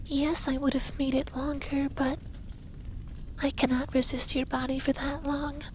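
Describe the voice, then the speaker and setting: A man playing a possessive, stern but caring effeminate dragon lover in an intimate ASMR roleplay, soft and breathy with whispers. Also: extremely high pitch, level tone, unhurried, slight background noise. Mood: drowsy, firm. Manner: commanding, teasing, tender.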